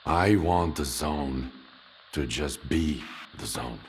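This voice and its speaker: A grim, deep-voiced veteran. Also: male voice, slow, a little hiss or hum, heavily acted. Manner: blunt, grave, resolute. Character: hardened veteran male stalker, grim, resolute. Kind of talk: video game cutscene acting.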